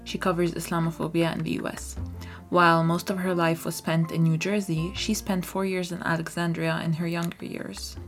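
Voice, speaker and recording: A woman host narrating scripted podcast introductions in a measured, emphatic way. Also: on the low side, flat delivery, faint music behind.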